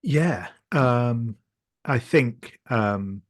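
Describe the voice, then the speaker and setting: A man playing a tabletop roleplaying game, casually improvising his character's actions in a halting way with many pauses. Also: mid-range voice, lively intonation, dry recording, studio quality.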